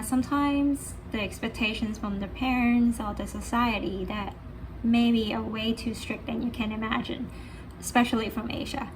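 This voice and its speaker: Hong Kong woman, noisy recording. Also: a little high. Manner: switches between Cantonese and English, many fillers. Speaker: artist and art teacher. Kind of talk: casual talk about her art. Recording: noisy background.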